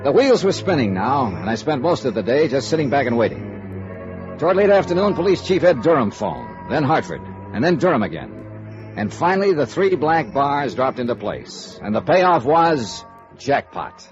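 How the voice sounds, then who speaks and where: A man playing a tough, no-nonsense insurance investigator in a radio noir drama, brisk and clipped in delivery. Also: medium pitch, sing-song, music in the background, close to an everyday voice. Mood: brisk, businesslike. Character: hard-boiled, no-nonsense male freelance insurance investigator. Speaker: lead actor and first-person narrator. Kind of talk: 1950s radio noir acting. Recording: dull, lo-fi vintage radio recording.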